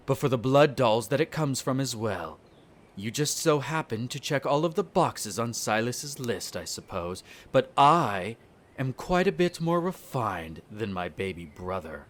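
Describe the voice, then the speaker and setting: A man's voice: fairly low pitch, lightly acted. A man playing an irritable aristocratic vampire in a roleplay audio drama, speaking formally with sneering condescension toward humans. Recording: nature ambience with birdsong behind. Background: birdsong and garden ambience.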